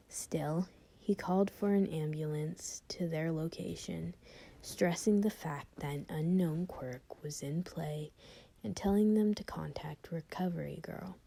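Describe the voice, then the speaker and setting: Mellow, creaky-voiced woman narrating. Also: on the low side. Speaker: amateur fan fiction reader. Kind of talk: story narration.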